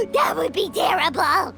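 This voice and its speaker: A woman voicing a tiny, childlike, excitable girl companion with a squeaky voice in a video game. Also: full character voice. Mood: horrified. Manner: refers to self in third person, exclaiming.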